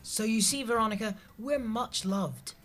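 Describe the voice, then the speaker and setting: An actor with a British accent playing an earnest, pedantic, soft-hearted office worker in an audio comedy. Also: male voice, sits high, normal speed, faint music behind. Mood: reassuring.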